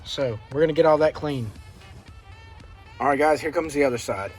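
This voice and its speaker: A man's voice: fairly high pitch, moderate pace, soft background music. An American man hosting a vlog, talking in a casual, folksy, hands-on way as he narrates what he is doing. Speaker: vlog host. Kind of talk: casual car-project vlog chat.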